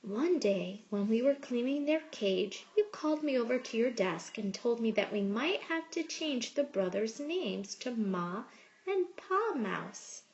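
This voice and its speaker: A woman reading a picture book aloud like a teacher, with expressive, measured storytelling. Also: fairly high pitch, muffled recording. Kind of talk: storybook read-aloud narration.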